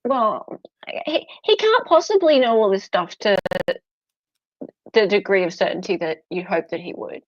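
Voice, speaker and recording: A woman's voice: medium pitch, normal speed, no room sound, dull audio. Thai accented woman, very up and down voice, playful guest on a stream, dry sound. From Thailand. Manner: playful, animated, teasing. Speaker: guest podcaster. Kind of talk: casual livestream chat.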